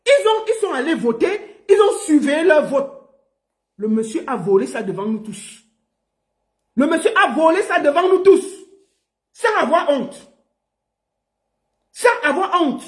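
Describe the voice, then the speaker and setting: A man speaking as a political commentator, pleading and repeating himself, and rising to shouts. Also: extremely high pitch, sing-song, unhurried, pauses often. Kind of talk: impassioned political monologue. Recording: roomy echo.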